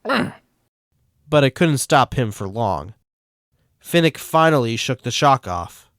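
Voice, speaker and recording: A man reading fan fiction aloud as the narrator in a steady, plain way. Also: medium pitch, slow, dry recording, studio quality. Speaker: fanfic reader.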